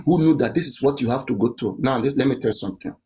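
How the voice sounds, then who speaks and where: A man's voice: mid-range voice, racing, warm tone. Insistent man. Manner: insistent, repeats points, asks rhetorical questions. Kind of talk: animated opinionated chat.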